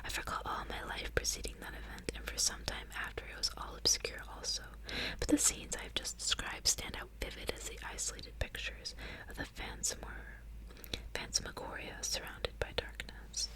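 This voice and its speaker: A woman reading aloud in a soft, intimate, breathy whisper, close to the microphone in an ASMR style. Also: normal speed, rough recording. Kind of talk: whispered ASMR audiobook reading with casual asides.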